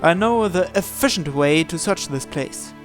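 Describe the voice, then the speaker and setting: A man's voice: fairly high pitch, expressive, a little slow, soft background music. A man hosting a visual novel playthrough, reading the first-person narration aloud.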